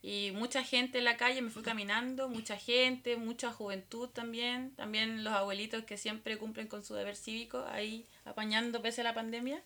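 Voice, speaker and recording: A woman's voice: a little high, steady tone, normal speed, few pauses, sharp tone. A woman with a Chilean accent, chatting casually as co-host of a radio show. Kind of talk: casual chat.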